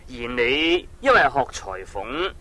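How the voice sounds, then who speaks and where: A wise master tailor with a mid-pitched voice and lively intonation. He speaks Hong Kong Cantonese as an encouraging mentor in an audio storybook, measured and instructive. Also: heavily acted. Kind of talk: 1980s audio storybook drama acting.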